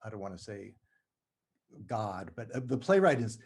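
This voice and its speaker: Mellow-voiced man explaining carefully, haltingly. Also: lowish voice, very animated, breathy edge. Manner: halting, careful with word choice. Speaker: playwright and screenwriter guest. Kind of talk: thoughtful conversational explanation.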